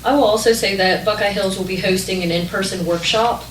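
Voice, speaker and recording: A woman's voice: mid-range voice, steady tone, a little fast, a little hiss or hum. Chatty woman presenting in echoey hall. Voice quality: loud voice. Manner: chatty, many ums and likes. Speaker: regional development planner. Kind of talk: public meeting presentation. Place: council meeting room. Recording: echoey meeting hall, distant mic.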